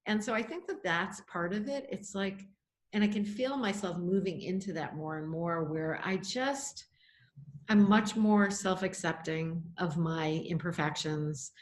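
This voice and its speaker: Middle-aged woman podcast co-host, lower voice, reflective and chatty, quick laughs. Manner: thoughtful, musing, laughs often. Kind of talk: casual reflective chat.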